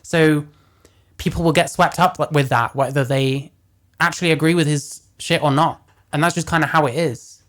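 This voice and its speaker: A man with a deeper voice giving casual reaction commentary, blunt and profane as he rants. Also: fairly even intonation, normal speed. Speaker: commentary host.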